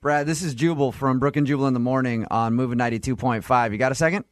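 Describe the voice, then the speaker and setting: A man's voice: mid-range voice, fast, dry recording, studio quality. An American man hosting a radio phone-in segment with teasing, casual, probing banter. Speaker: radio show host.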